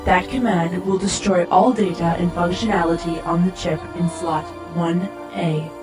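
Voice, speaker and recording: A woman voicing a flat, precise starship computer. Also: fairly low pitch, normal speed, lightly acted. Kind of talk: fan audio drama acting. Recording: roomy with music underneath.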